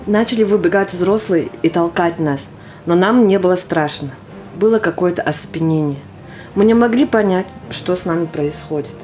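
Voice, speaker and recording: A woman's voice: lowish voice, normal speed, a little mellow, a little hiss or hum, lightly acted, poor audio. An actress playing a naive, earnest seventeen-year-old girl who volunteers for war, in a theatrical audio drama. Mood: earnest remembering. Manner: earnest, stubbornly keen. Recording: music under the voice.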